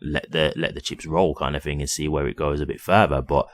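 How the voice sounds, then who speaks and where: A man's voice: very deep voice, steady tone, a little fast, no room sound. A man giving casual, unscripted commentary straight to his viewers, conversational and often checking in with a questioning tag. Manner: conversational, repeatedly checks in with "right?". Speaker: crypto YouTuber.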